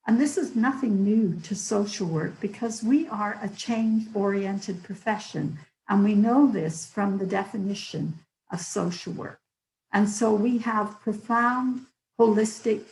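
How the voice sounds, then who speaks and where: An older woman with a hoarse voice, giving an earnest public lecture with stories and the odd filler. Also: medium pitch, a little mellow, roomy sound, poor audio. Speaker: guest lecturer.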